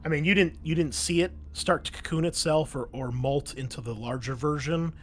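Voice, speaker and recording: A man's voice: fairly high pitch, moderate pace, a bit bright, slight background noise. A man running a tabletop game as game master, improvising narration in a casual, conversational way.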